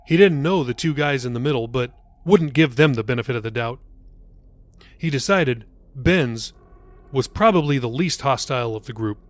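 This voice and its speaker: A man's voice: medium pitch, animated, moderate pace, dry recording. A man reading his own novel aloud as dramatic, scripted audiobook narration. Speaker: author reading own novel, podcast host. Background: faint music.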